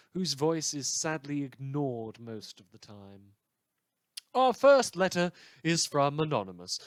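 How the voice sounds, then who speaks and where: Droll, theatrical radio newsreader, played by a man, very animated. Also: fairly high pitch, dry recording. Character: wry, world-weary British radio newsreader in a superhero world. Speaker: podcast performer playing a broadcast host. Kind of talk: scripted fictional radio broadcast.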